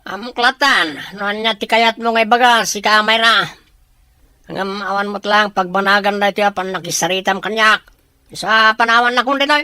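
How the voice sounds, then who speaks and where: Blunt, stubborn follower, played by a woman, tense and sharp, close dry sound, amateur drama. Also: mid-range voice, moderate pace, clean tone, dry recording, natural voice. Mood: dismissive, stubborn.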